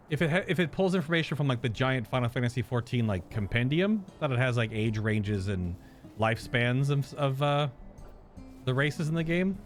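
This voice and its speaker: A man giving casual live reaction commentary as a game streamer, rambling and thinking aloud. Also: medium pitch. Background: game music.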